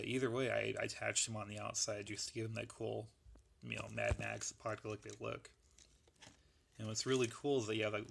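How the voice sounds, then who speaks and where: A man with a gravelly voice that is going, casually rambling through a show-and-tell of a model build. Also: on the low side, a bit bright. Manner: rambling, laid-back, lots of fillers. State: losing his voice.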